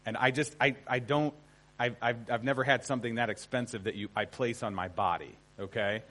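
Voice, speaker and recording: A man's voice: medium pitch, fairly even intonation, a little fast, fairly bright tone. Conversational American man teaching a seminar. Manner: conversational, emphatic, often checks in with listeners. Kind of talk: informal seminar lecture to an audience.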